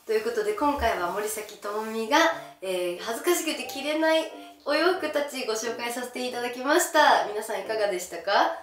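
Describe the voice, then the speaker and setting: A woman's voice: on the high side, animated, a little fast, hardly any pauses. A young woman chatting casually to camera as she presents, with an exclamatory, bashful manner. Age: young adult. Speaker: gravure idol presenting outfits. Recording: roomy echo from camera distance. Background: faint music.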